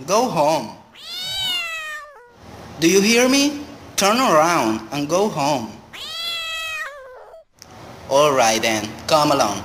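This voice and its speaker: A man acting in a radio play as a moody husband who turns into a cruel drunkard, in a theatrical, deliberate delivery. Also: fairly high pitch. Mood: exasperated. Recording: noisy, long hall-like reverb.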